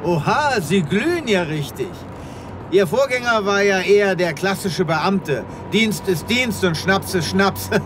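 A man's voice: high voice, lively intonation, a little slow. A man playing a composed, courteous space vet with a formal, avuncular, dry manner in a comic radio play. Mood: amused. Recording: noisy sound-effect ambience behind.

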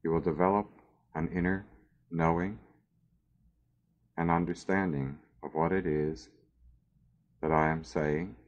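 A man narrating a scripted guided meditation in a measured, soothing way with long pauses, on a dull recording. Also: sits low, steady tone.

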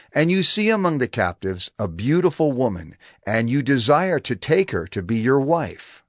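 A man narrating scripture in a measured, formal way for an audio drama. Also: medium pitch, no room sound. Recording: studio narration over music.

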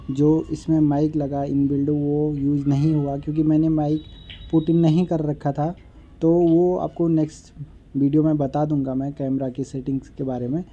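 A man's voice: a little high, warm tone, highs cut off. A young man chatting casually to camera as a motovlogger, explaining each step to his viewers, recorded outdoors on a phone and then on a helmet-mounted camera. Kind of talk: casual vlog talk to camera. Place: outdoors by a city roadside, later riding a motorbike. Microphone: phone camera, then helmet-mounted action camera. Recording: noisy street recording with traffic and wind. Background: traffic and vehicle noise.

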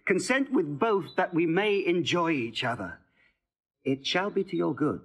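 An actor playing an impulsive young nobleman newly in love, pleading in classical verse. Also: mid-range voice. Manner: eager, pleading. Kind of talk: classical stage acting.

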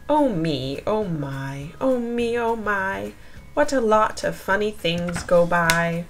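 A woman reading a children's picture book aloud in an expressive, sing-song storytelling style with deliberate phrasing. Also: mid-range voice, soft background music.

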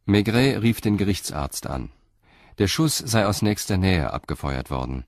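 A man with a low voice narrates a crime radio play. His delivery is sober and measured, like scripted storytelling, in a close, dry recording. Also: big pitch swings, no room sound.

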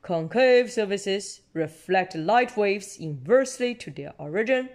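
Woman reading as storybook father pig, cheerful know-it-all, slow and mildly pompous. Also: medium pitch, animated, a little mellow. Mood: explaining.

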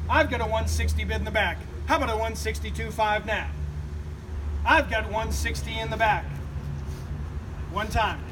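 Fast-chanting high-voiced auctioneer outdoors. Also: male voice. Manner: rhythmic, repetitive bid chant. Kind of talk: rapid auction calling. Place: outdoors on a street beside a building. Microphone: far camera. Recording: distant outdoor recording, street noise.

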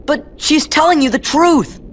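A man's voice: very high voice, unhurried, fairly bright tone, slight background noise. An actor playing a plucky, loyal teenage boy hero in a video game, earnest and eager. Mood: urgent, earnest. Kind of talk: animated video game voice acting.

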